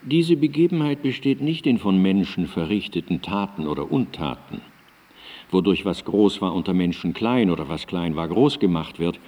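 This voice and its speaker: Mellow, solemn old philosopher, echoing hall. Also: male voice, sits low, lively intonation, moderate pace. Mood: solemn, emphatic. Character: elderly male Enlightenment philosopher, solemn and reasoning. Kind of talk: radio drama, declaimed philosophical writing. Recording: long hall-like reverb on cassette.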